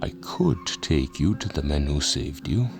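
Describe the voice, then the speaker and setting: A man acts out a village preacher for an audio drama, with an extremely low voice. He speaks slowly and deliberately, like a father calming his flock, yet with something quietly ominous underneath. Music plays clearly behind him. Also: full character voice. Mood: soothing, firm. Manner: slow, deliberate, paternal, calls the listener brother.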